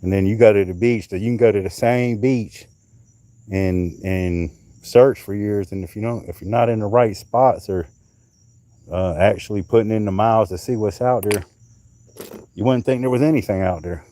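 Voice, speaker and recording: Guy with a deep mellow voice just chatting away on a live stream, loose and rambly, trails off a lot. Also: moderate pace, dry recording. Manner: rambling, chatty, drifts off mid-sentence; handling and showing coral pieces. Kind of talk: casual live stream chat.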